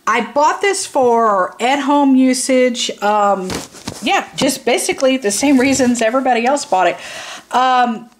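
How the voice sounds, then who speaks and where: A woman's voice: high voice, measured pace, a little hiss or hum. A tired woman giving a blunt, casual product review, rambling and repeating herself for emphasis. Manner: blunt, informal.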